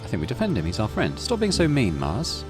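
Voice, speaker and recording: A man's voice: on the low side, huge pitch swings, brisk. A middle-aged man hosting a gaming video, reading story text aloud and adding casual commentary. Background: game music.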